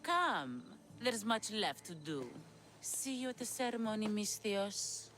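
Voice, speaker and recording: A woman playing a sly witch in a video game, with a deliberate, theatrical, coaxing delivery. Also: mid-range voice, very animated, noisy background. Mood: assured, scheming. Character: sly witch of Hecate peddling potions, slow, sultry. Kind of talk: dramatic video game acting.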